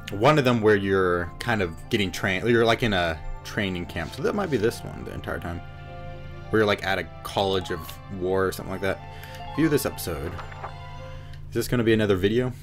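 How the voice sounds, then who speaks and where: Low-voiced man, casual game commentary. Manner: rambling, wry asides. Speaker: gamer narrating a playthrough. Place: indoor room at home. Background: game music.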